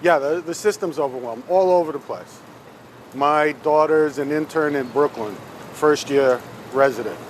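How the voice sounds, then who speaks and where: A middle-aged man giving a halting, unscripted street interview outdoors near vehicles. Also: on the high side. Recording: noisy outdoor street with traffic.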